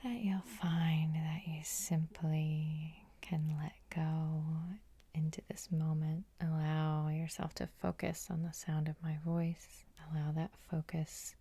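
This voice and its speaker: Woman, breathy whisper, ASMR sleep hypnosis, very dark and soft, low pitch, soothing, repetitive guiding. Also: flat delivery, takes it slowly.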